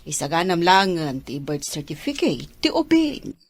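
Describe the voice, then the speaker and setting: A woman playing a commanding but kindly lady employer in a radio drama, with a husky voice and a direct manner. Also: lowish voice, very animated, measured pace, no room sound, heavily acted. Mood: brisk, helpful. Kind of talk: radio drama acting in dialogue.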